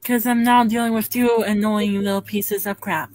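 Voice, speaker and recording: A woman's voice: mid-range voice, flat delivery, moderate pace, a little mellow, no room sound. A woman in casual online banter, blunt and teasing. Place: online group voice stream.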